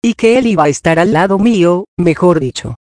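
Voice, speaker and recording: A man's voice: sits high, animated, a little fast, darkish tone, dry recording, studio quality. A man giving a personal testimony and recounting events while quoting what others said to him. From Colombia.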